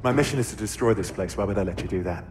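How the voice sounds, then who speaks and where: An actor playing a wary, conflicted Imperial soldier in a video game, with a guarded, sardonic, questioning manner. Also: male voice, lowish voice, racing, noisy background, natural voice. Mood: skeptical. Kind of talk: cinematic video game acting. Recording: loud film-style music underneath.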